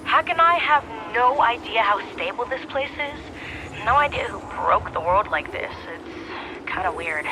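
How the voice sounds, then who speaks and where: A cocky young woman livestreamer and vigilante, high and lively in pitch. She is chatty, playful and slangy, with a sarcastic streak, as in a game cutscene. There is clear noise behind her. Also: a little slow. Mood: brisk, playful. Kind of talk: casual livestream-style video game cutscene acting.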